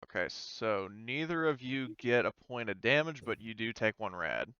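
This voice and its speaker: Guy game mastering a tabletop rpg, clear bright voice, thinks out loud and hesitates, close dry muffled-ish audio. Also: on the low side, expressive, moderate pace, dry recording. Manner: hesitant, thinking aloud while checking rules. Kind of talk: casual tabletop roleplaying game narration.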